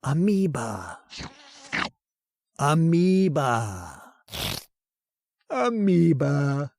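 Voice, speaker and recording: A woman's voice: deep voice, drawn-out words, gravelly, dry recording, full character voice. A woman playing an obsessed, reckless male scientist in an old-time radio horror drama, swinging between wonder and scorn. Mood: fascinated. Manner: excitable, marvels and snaps by turns.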